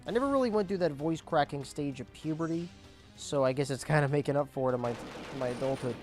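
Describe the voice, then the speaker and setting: A young man casually commentating his gameplay, explaining objectives as he goes, his voice cracking and squeaking now and then. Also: quick pace, a little mellow, soft background music. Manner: chatty, explains objectives as he plays. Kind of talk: casual let's play commentary.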